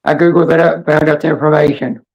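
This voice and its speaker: Raspy gravelly woman, lowish for a lady, rambling on a casual livestream, blunt and halting. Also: level tone, darkish tone, low-quality recording. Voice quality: hoarse, raspy. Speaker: livestream host.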